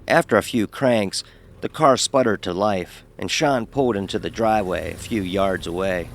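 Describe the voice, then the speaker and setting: A cocky, sneering young frat pledge played by a man narrates a horror tale in a low voice. He is sarcastic and dismissive, and there is clear ambient noise behind him. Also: normal speed, natural voice. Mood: storytelling. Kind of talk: first-person horror story narration with acted dialogue.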